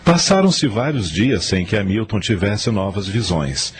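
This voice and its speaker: A man's voice: on the low side, big pitch swings, measured pace, husky, faint music behind. A man narrating a radio drama in a formal, scripted storytelling manner.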